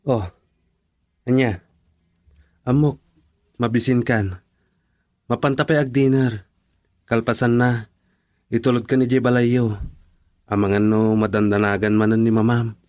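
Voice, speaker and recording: Man as devoted young sweetheart in radio drama: mid-pitched, gentle, humble, close dry recording. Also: fairly even intonation, measured pace, pauses often, no room sound, lightly acted. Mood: tender, humble.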